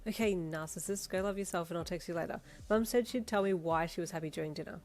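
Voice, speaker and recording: A woman voicing a sarcastic, excitable young woman in an audio story, with expressive, bantering delivery. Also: fairly low pitch, fast, soft background music, close to an everyday voice. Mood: exasperated, animated.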